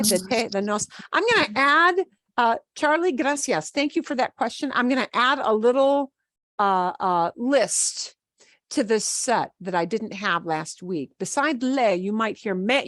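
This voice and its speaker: Slow, patient Midwestern woman teacher. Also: medium pitch, a bit bright, no echo, clean studio sound. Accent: American Midwestern. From American Midwest. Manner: patient, explains slowly with restarts and fillers. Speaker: Spanish teacher. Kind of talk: online class lesson.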